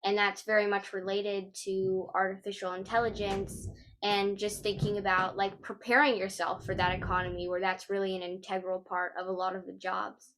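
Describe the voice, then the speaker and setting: A woman hosting a casual interview, relaxed and using fillers like "like" and "uh". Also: mid-range voice, normal speed, a little hiss or hum.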